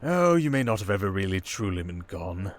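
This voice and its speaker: A man playing a suave, manipulative criminal mastermind in an intimate ASMR roleplay, with a coaxing, condescending, theatrical delivery full of drawn-out pauses. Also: sits low, sing-song. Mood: probing, smug. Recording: music behind the voice. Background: music.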